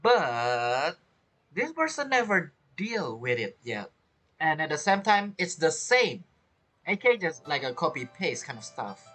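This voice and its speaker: Bright, animated young male game streamer. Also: fairly high pitch, measured pace, faint music behind. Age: young adult. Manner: chatty, playful, reacts to the characters. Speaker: gaming streamer. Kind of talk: casual game playthrough commentary.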